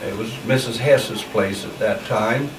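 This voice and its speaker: A man's voice: lowish voice, unhurried. Plainspoken old man, distant mic. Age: 80s. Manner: rambling, many uhs, plainspoken recollection. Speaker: interviewee. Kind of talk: oral history interview. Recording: distant mic in a roomy space with background noise.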